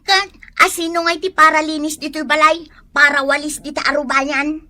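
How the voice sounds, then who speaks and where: A woman's voice: high voice, little pitch movement, moderate pace, bright, forceful tone. A woman playing a stubborn, whiny young sister in an Ilocano comedy radio drama, with an Ilocano accent. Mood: whiny, defiant.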